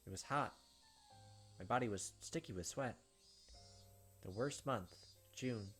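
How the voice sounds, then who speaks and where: A man's voice: medium pitch, a little slow, fairly bright tone. Man reading a visual novel over music. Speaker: gaming video host. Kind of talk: visual novel read-aloud with casual commentary. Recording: music behind the voice. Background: game music.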